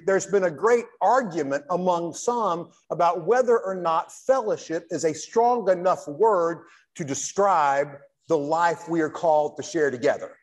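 A man in his sixties, a Baptist pastor, giving a church lecture in a deliberate, emphatic preacher's delivery with pauses. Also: high-pitched. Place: large church hall, speaking at a lectern. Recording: reverberant church hall.

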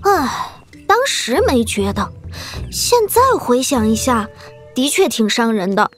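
A woman's voice: on the high side, big pitch swings, unhurried, soft background music. A woman playing a cheeky, chatty young girl in a Chinese martial-arts audio drama, pouty and gossipy. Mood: rueful.

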